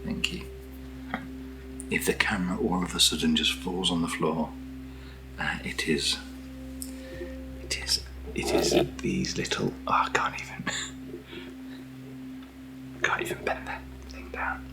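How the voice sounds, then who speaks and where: A British man speaks very softly in a deep voice, often slipping toward a whisper. He takes his time as he chats in an unscripted ASMR style, murmuring gently playful asides. Music plays clearly behind him. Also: sing-song, measured pace, pauses often, low-quality recording. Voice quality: soft, breathy, often near whisper. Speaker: ASMR product reviewer. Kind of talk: soft-spoken ASMR, unscripted unboxing chat.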